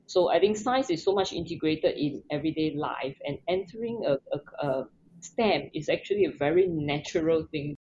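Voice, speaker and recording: Woman from Singapore, podcast guest; animated, earnest, slightly dull audio. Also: low-pitched, moderate pace. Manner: earnest, many fillers and restarts. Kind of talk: casual interview.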